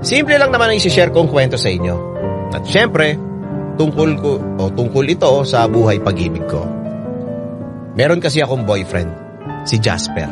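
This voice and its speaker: An actor playing a lovelorn young letter writer who narrates a radio drama in an expressive, confiding way, with a Filipino accent. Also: male voice, mid-range voice, moderate pace, long pauses, over loud music, natural voice. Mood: chatty, confiding.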